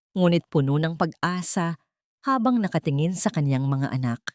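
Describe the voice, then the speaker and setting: Woman reading a dramatic audiobook, lowish voice, very dry recording. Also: a little mellow, no room sound. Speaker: audiobook narrator. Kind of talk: dramatic scripted story narration.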